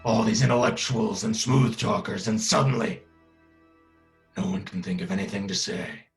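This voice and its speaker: A man's voice: lowish voice, normal speed, gravelly, faint music behind. An actor playing a grim, misanthropic masked vigilante, narrating his journal in a terse, ominous dramatic monologue. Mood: grim, contemptuous.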